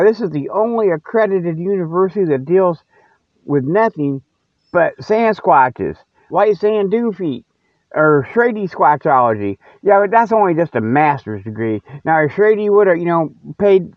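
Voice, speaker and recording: A man's voice: high voice, dry recording. A man hosting his own radio show, rambling solo in a folksy, colloquial, teasing way. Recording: tinny, band-limited radio sound, very dry.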